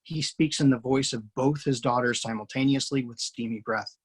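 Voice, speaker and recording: A man reading the stage directions aloud in a plain, steady way as the screenwriter hosting a table read over a video call. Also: mid-range voice, moderate pace, breathy edge. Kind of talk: reading stage directions, then casual chat. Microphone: video call mic.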